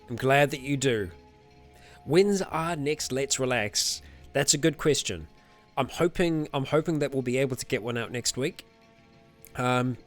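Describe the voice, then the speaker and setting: Chatty streamer man, faint music behind. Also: medium pitch. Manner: chatty, rambling, frequent fillers and self-corrections, laughs often. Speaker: streamer host. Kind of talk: casual livestream chat, answering viewer comments.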